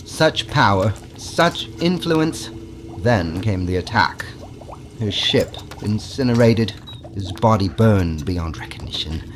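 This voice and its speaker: A man's voice: on the low side, lively intonation, slow, background noise. A man playing an eccentric, secretive alien scientist in an audio drama, with a fussy, theatrical, conspiratorial way of talking. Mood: dramatic. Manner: theatrical, fussy, scolding, dropping into conspiratorial hushes. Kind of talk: audio drama character acting.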